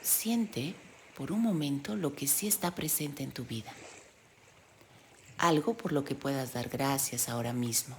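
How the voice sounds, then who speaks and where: A woman leading a guided meditation in a gentle, soothing delivery with pauses. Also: very deep voice, a little hiss or hum. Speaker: meditation guide, yoga teacher. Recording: steady loud sea-wave background sound. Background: sound of ocean waves.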